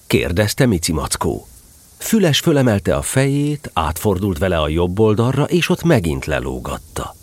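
A man narrating a children's story as a scripted, expressive storyteller. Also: low voice, dry recording.

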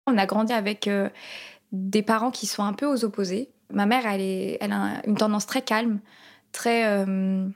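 A woman in her twenties answering interview questions, hesitant, with many pauses and fillers. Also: medium pitch, fairly even intonation. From France. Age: 20s.

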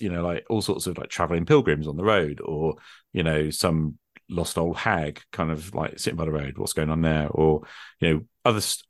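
A man with a very deep voice talks in an unscripted discussion. He explains things reflectively, posing rhetorical questions as he goes. The sound is dry, with little room in it. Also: no room sound. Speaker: game master and host.

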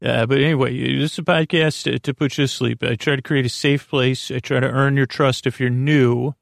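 A man with a creaky, gravelly voice hosts a sleep podcast, giving a soothing, meandering bedtime monologue with hesitant, repeating, lulling speech close to the microphone. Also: mid-range voice, fairly even intonation, no echo. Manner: meandering, hesitant, repeats and stumbles over words; lulling, gentle, drawn-out delivery.

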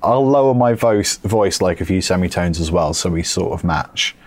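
A man with a lowish voice trading dry, sarcastic banter on a podcast. He teases his co-host in an easy, deadpan way. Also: moderate pace, no echo. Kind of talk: casual chat. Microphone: remote call setup.

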